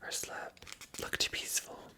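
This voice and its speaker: A person reading a story aloud as ASMR narration in a hushed, unvoiced whisper. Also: normal speed. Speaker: ASMR reader and channel host.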